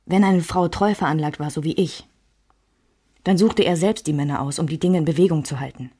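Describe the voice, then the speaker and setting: Radio drama: reflective female narrator looking back on marriage, lowish register. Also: a little fast, mellow, breathy edge. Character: reflective woman remembering her marriage and lovers.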